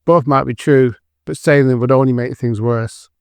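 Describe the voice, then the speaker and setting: A man's voice: mid-range voice, fairly even intonation, normal speed, creaky, a little mellow, clear, resonant tone, dry recording. A man giving scripted dramatic narration for an audio drama.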